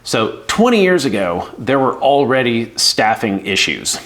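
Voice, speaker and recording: A man's voice: medium pitch, very animated, measured pace, a bit bright. A middle-aged man from the United States, an air traffic controller giving casual, candid career advice with lots of fillers. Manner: conversational, acts out quoted voices, frequent fillers. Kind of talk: casual explainer video.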